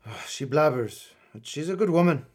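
An actor playing a blunt, protective middle-aged Turkish colonel and exasperated husband in a radio play, with a rough voice. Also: male voice, fairly high pitch, lively intonation, moderate pace, darkish tone. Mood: gruff. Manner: blunt, brusque.

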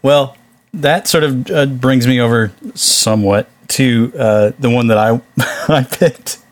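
A man's voice: medium pitch, slow, dry recording. A man co-hosting a podcast, talking casually about movies in an informal, wryly amused way. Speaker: podcast co-host.

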